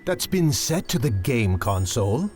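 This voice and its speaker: A man playing an analytical young ninja in an anime game cutscene, with formal, articulate, deliberate phrasing. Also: mid-range voice, very animated, a little mellow. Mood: intrigued, analytical. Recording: music under the dialogue.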